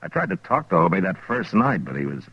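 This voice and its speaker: An actor playing a weary, level-headed American frontier marshal, terse and dry, in an old-time radio western. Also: male voice, low-pitched, big pitch swings, fast talker, breathy edge, no room sound, full character voice. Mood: dry, amused. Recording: dull vintage radio recording.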